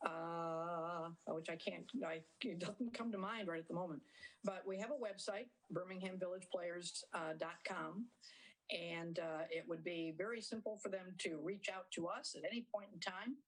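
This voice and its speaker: Woman, interview guest, lowish pitch, conversational, halting explanations with rephrasing. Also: rough recording. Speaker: theater director, interview guest.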